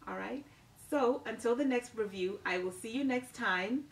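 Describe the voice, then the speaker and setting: Animated woman reviewing a book at home. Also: fairly high pitch, moderate pace. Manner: animated, emphatic, tags sentences with "okay?". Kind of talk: casual book review vlog. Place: living room, seated on a couch. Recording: roomy, distant mic.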